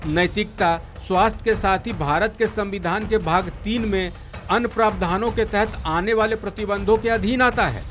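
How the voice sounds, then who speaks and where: A man reading scripted news as a presenter, in a formal, measured delivery. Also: high-pitched, a bit bright. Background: faint background music.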